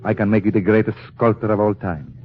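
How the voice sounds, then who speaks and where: An actor playing a grave, sinister professor with formal, measured, persuasive speech in an old radio drama. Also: male voice, fairly low pitch, fairly even intonation, close to an everyday voice. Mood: intense, commanding. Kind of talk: 1940s radio acting. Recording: tinny, noisy old radio broadcast.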